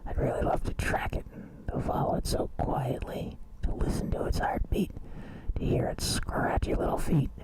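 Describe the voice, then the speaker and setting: A man voicing an excited boy in a cat's body, speaking his thoughts in a breathy whisper, slow and eager, for a storybook. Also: lightly acted. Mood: dreamy, hungry. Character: boy morphed into a cat, excited thought-speech. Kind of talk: storybook character voice over music. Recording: whispered voice under loud music.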